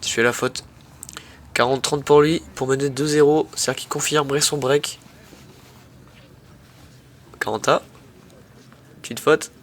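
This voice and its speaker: A young man with a head cold, casually commenting on his own tennis match in slangy, excitable talk to his viewers. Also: mid-range voice, measured pace, pauses often. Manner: slangy, excitable, talks to viewers as "les mecs".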